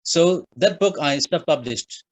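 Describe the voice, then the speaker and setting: Halting Burmese-accented man, dry sound. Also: fairly high pitch, animated, no room sound. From Myanmar. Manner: halting, frequent uh fillers, simple phrasing. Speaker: guest author. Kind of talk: podcast interview answers.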